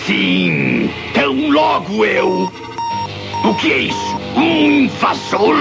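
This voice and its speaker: An actor playing a gloating older mad scientist villain with a gravelly voice in a cartoon dub. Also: male voice, sits high, wildly swooping pitch, slow, over music, exaggerated voice. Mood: alarmed. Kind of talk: dubbed cartoon acting.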